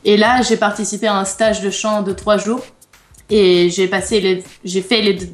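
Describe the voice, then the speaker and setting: A woman's voice: mid-range voice, level tone, moderate pace. A bilingual French-Italian teenage girl from France, giving short, plain answers as a guest singer. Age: teens. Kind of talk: interview answers.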